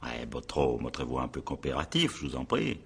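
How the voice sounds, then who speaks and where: An actor playing a brisk, impatient male magistrate in a French radio drama, with an authoritative, clipped and dryly ironic way of questioning. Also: rumbling low, very animated, vocal fry, husky, heavily acted.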